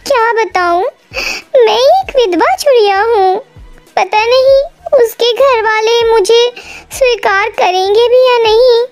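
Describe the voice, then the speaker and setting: A woman's voice: very high voice, slow, soft background music, voice pushed up, heavily acted. A woman voicing a timid, sorrowful young widowed female bird in a cartoon, with a plaintive delivery. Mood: worried, sad.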